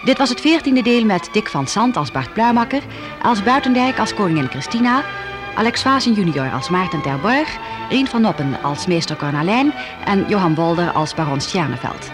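A man's voice: high voice, expressive, moderate pace. A man reading scripted closing credits as a radio announcer. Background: loud music.

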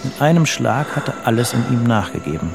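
A man narrating a crime story for a radio drama in a grave, detached, measured way. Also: medium pitch, big pitch swings, creaky, over music.

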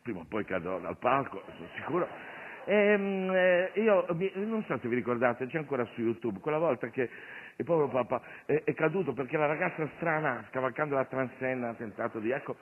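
A male comic actor delivering an animated, digressive satirical monologue on stage, addressing the audience and his sidekick. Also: mid-range voice, a little fast, breathy edge. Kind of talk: theatrical stand-up monologue with a sidekick. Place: theatre stage. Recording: noisy, narrow phone-like radio recording of stage show.

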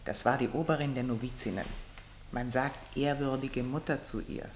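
An actress playing a spirited, protective and exasperated older sister in a German radio drama, speaking in a theatrical, emphatic, coaxing way. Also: female voice, rumbling low, fairly even intonation, moderate pace, darkish tone, a little hiss or hum. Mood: matter-of-fact.

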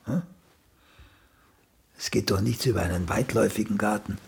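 An actor playing an aging celebrated playwright and mentor in a radio play, raspy, blunt and pedantic, with a dryly cutting drawl. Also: male voice, fairly low pitch, normal speed, exaggerated voice. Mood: reciting slowly. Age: older. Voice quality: raspy, gravelly. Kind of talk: German radio play acting.

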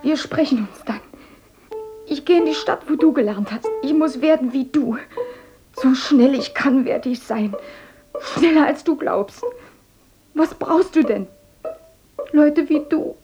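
An actress playing a stubborn, passionate young foster daughter in a radio play, talking intensely and pleading. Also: female voice, sits high, a little slow, long pauses, warm tone, soft background music. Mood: bitter, determined. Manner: intense, pleading, sometimes whispering. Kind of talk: radio drama acting.

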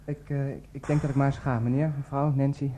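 A man's voice: medium pitch, little pitch movement, fast, dark tone, slight background noise, close to an everyday voice. An actor playing a polite, timid, injured young man in a 1990s radio drama, hesitant and apologetic. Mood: meek, dazed. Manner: hesitant, deferential.

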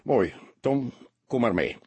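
Man as a husky, calm senior male detective. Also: medium pitch, dry recording. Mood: friendly. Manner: measured, reassuring, firm.

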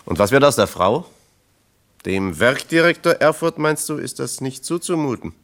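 A man's voice: medium pitch, sing-song, moderate pace, fairly bright tone. A man playing an earnest, persistent East German factory comrade in a German radio play, persuasive and plain-spoken. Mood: questioning, insistent.

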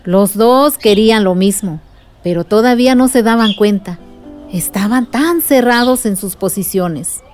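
An actress with a Mexican accent playing a patient, soothing aunt telling a story in a radio drama. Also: female voice, medium pitch, unhurried, darkish tone, soft background music, natural voice. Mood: patient, reassuring.

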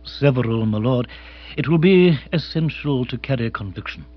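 A male reader puts on a calm English manservant from a comic storybook. He is deferential and exact, with a deadpan formality and learned wording, in a middle-pitched voice that is slightly husky. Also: highs cut off, natural voice. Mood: unruffled, deferential. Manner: formal, measured, deadpan, erudite. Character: imperturbable, deferential English manservant, dry and precise.

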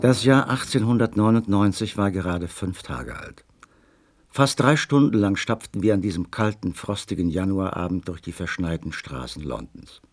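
An actor playing an earnest, inquisitive gentleman companion who both narrates and talks in a German radio crime drama. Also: deep voice, lively intonation, moderate pace. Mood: brooding narration.

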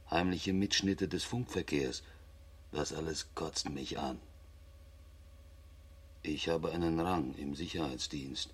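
An actor playing a brooding, guilt-ridden astronaut and secret agent, confessing introspectively in a radio play. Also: male voice, rumbling low, husky, exaggerated voice.

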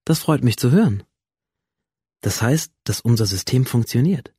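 A coolly clinical official researcher explains things in a radio drama. The delivery is formal and bureaucratic, matter-of-fact throughout, in a dry studio sound. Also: male voice, medium pitch, animated, moderate pace, dark tone, breathy edge, dry recording.

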